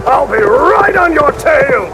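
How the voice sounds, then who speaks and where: An actor playing a hearty, jesting, grumbling blacksmith and friendly rival in a fantasy audio drama. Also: male voice, very high voice, sing-song, slow pace, heavy background noise, heavily acted. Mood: boisterous, indignant. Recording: music behind the voice.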